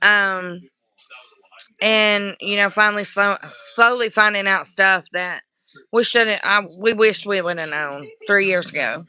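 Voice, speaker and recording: A woman livestreaming casual chat to her viewers, hoarse from screaming, rambling and apologetic. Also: mid-range voice, measured pace, pushed and bright, rough recording.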